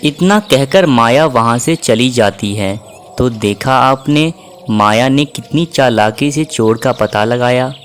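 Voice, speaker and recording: Male storybook narrator, mid-pitched. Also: takes it slowly, over music.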